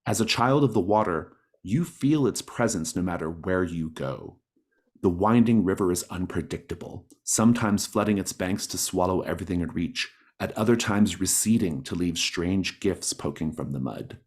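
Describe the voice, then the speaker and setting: A man with a very low-pitched voice runs a tabletop roleplaying game. He explains things to players in an encouraging, accommodating way over an online call. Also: animated, moderate pace, darkish tone, breathy edge. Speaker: game master, host. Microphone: online group call.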